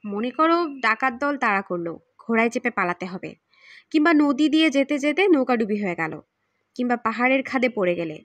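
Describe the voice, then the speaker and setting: A woman voicing a calm, teasing older adventurer uncle in an audiobook, patient and bantering. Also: on the high side, a little fast, no echo, lightly acted. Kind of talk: audiobook character acting.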